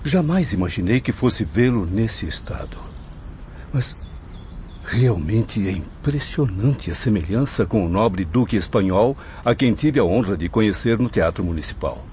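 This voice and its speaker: A man's voice: on the low side, lively intonation, a little slow, mellow. A man acting in a radio drama as a refined, reverent spirit apprentice speaking formally. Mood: shocked, saddened. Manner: formal, courtly. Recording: muffled lo-fi audio with faint noise.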